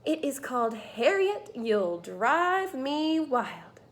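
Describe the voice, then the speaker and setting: A high-pitched woman's voice, a teacher doing a read-aloud for young children. She tells the story expressively, stretching out key phrases and leaning on them for emphasis. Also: sing-song, takes it slowly. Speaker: teacher reading a picture book aloud.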